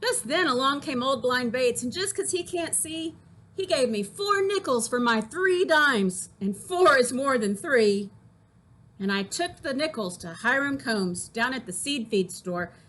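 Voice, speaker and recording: A woman's voice: high voice, animated, unhurried, fairly bright tone, heavily acted. A woman voicing a naive, boastful young boy in an emphatic children's poem reading. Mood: naive boasting. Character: foolish, proud young boy boasting of bad trades.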